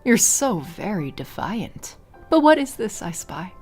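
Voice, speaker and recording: A woman voicing a cold, haughty female vampire noblewoman who speaks slowly and with arch formality. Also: medium pitch, big pitch swings, faint music behind. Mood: teasing. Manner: slow, purring, archly formal.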